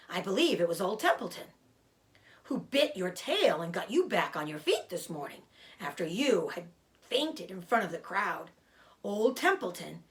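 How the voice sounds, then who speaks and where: A woman's voice: mid-range voice, a bit bright. A woman voicing a greedy, self-pitying old male rat in a storybook, complaining and mocking theatrically. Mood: aggrieved, boastful.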